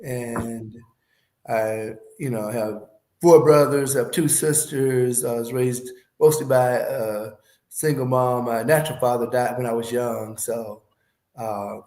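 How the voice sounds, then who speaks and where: A man's voice: mid-range voice, slow, echoey room. A man in his fifties from Alabama with a Southern accent that has a Midwestern tinge, talking casually as an interview guest and often saying "you know". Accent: Southern US with Midwestern speech. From Alabama, USA. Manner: relaxed, frequent "you know" fillers.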